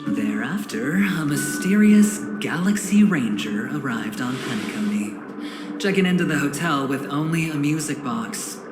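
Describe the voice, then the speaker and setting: An actor playing a suave, smug, scheming corporate insider in a video game, speaking theatrically with dramatic pauses. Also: male voice, a little high, lively intonation, echoey room, music in the background. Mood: ominous, dramatic. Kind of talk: video game cutscene dialogue.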